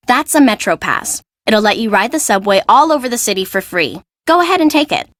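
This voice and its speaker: A woman's voice: on the high side, expressive, fairly quick, a bit bright, no echo, studio quality. A woman playing a chatty young fashion assistant in a video game, confiding and gossipy.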